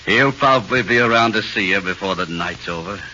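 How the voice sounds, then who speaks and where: A man's voice: on the low side, a little fast, bright, forceful tone. A man playing a sadistic, gloating prison policeman in 1940s radio horror, taunting with sneering menace. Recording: muffled, hissy 1940s radio recording.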